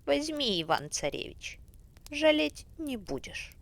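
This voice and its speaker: A woman voicing a frog princess in a bedtime storybook, with a high, croaky singsong voice. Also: sing-song, slow pace, exaggerated voice. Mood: coaxing. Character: sweet-talking female frog princess.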